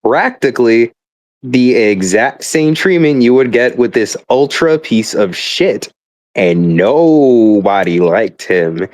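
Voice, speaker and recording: A man's voice: mid-range voice, expressive, slow, a little mellow, no echo. Profane young man on a rambling rant. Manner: profane, exasperated, hyperbolic; stammering repeated starts. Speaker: gamer.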